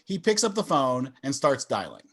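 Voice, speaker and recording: A man's voice: mid-range voice, big pitch swings, normal speed. A man narrating stage directions in a scripted table read over a video call.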